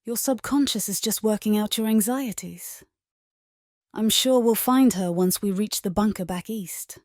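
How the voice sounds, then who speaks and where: Woman playing a mellow, reassuring doctor. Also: medium pitch, no room sound, studio quality, natural voice. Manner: soothing, measured. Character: calm, reassuring female doctor. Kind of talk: audio comic dramatic acting.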